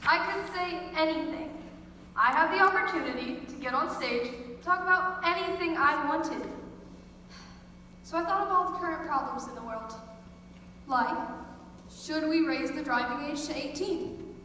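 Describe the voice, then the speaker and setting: Young female student for a comic stage monologue, high voice, captured from far off in a reverberant, noisy theater. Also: moderate pace, bright, forceful tone. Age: teen or young adult student. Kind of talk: performed comic monologue to an audience. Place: school theater stage, live audience. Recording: echoey hall, far from mic, noisy.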